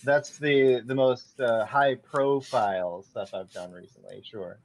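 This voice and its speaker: A man chatting as a podcast guest, friendly and relaxed, with some hesitation. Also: mid-range voice, measured pace. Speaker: podcast guest, voice actor and artist. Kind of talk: casual podcast chat.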